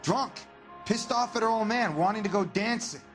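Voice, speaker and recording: A surly, defensive ex-con in a crime game. His male voice sits high, his talk is curt and slangy, and music runs quietly underneath. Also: normal speed. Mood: sullen. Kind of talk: video game crime drama acting.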